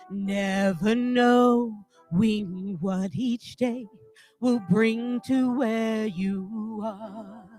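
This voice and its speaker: High-voiced woman singing slowly over music. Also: darkish tone. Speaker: singer. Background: musical accompaniment.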